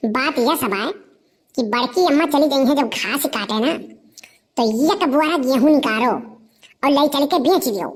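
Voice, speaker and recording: A woman's voice: high-pitched, lively intonation, measured pace. A woman voicing a cheeky, scheming village boy with an Awadhi accent in a cartoon comedy, wheedling and arguing. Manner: wheedling, argumentative.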